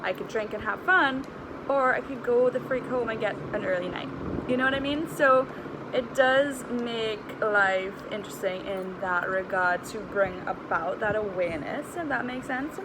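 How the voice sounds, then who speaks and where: A woman's voice: fairly high pitch, moderate pace, noisy background. A woman with a Trinidadian accent talking casually and candidly in a personal vlog, with informal slang, as a health coach. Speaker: health and weight-loss coach vlogger.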